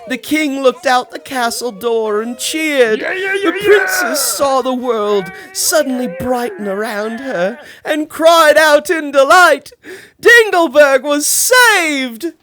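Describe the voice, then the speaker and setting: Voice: high-pitched, lively intonation, slow pace, fairly bright tone. A storybook reader narrating for children in a theatrical way, with dramatic emphasis. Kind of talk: animated children's read-aloud narration.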